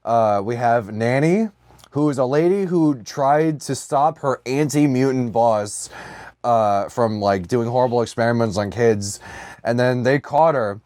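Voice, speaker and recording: A man co-hosting a podcast in casual comedic banter, swearing freely and telling stories in an animated, riffing way. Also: mid-range voice, unhurried, no room sound, clean studio sound. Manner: profane, riffing, animated storytelling. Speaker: podcast co-host. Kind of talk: casual comedic banter about films and comics.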